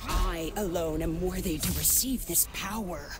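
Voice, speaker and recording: A woman playing an arrogant, power-hungry female dragon villain in a video game, haughty and breathy in delivery. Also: fairly low pitch, a little slow, noisy background, lightly acted. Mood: haughty, resentful.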